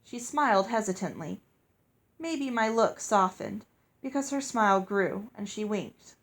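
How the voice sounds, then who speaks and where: A woman's voice: mid-range voice, lively intonation, measured pace. A woman reading a story aloud as narrator. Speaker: audiobook reader.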